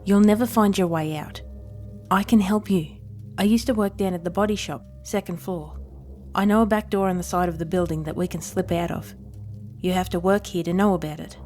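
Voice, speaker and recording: A woman playing a desperate trapped shop worker in an audio horror drama, high-strung, snippy and pleading. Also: fairly even intonation, brisk, darkish tone, soft background music, close to an everyday voice. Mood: tense, snippy.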